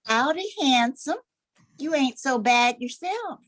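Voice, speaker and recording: Older woman plays vain gang gal, very high voice, radio western comedy. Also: expressive, slow, fairly bright tone, exaggerated voice. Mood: flirty. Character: vain, flirty female outlaw gang member. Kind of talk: amateur old-time radio comedy acting.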